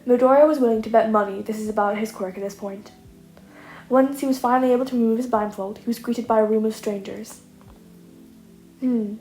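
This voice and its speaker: A woman's voice: on the high side, steady tone, fairly quick, dark tone, faint music behind. A woman reading scripted comic fiction aloud as a story narrator. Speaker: narrator, podfic reader. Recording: echoey room, audible noise.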